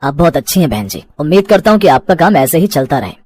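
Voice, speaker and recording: A woman's voice: low-pitched, sing-song, fast, darkish tone, breathy edge, dry recording, pitched below the performer's own voice, full character voice. A woman voicing a friendly young male video blogger with a courteous manner in a cartoon story. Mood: appreciative.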